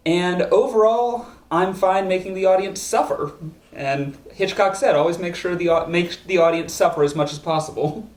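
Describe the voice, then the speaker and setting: Host, woman, very low voice, dry jokey delivery, roomy echo. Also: moderate pace, darkish tone. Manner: dry, joking, reads viewer comments. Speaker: filmmaker and stream host. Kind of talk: casual live stream chat. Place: living room couch. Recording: roomy living room echo.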